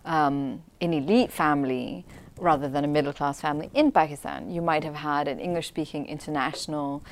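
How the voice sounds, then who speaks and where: Deep-voiced, reflective woman from Syria. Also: steady tone, a little fast. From Syria, grew up in Damascus. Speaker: interview guest. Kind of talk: conversational commentary on culture.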